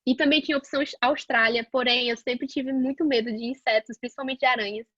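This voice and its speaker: A woman's voice: high-pitched, fairly even intonation, quick pace, a bit bright, dry recording, lo-fi sound. A young woman from Portugal telling her own career story in a casual, chatty way, with many fillers and restarts. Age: young adult. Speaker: guest scientist sharing her career path.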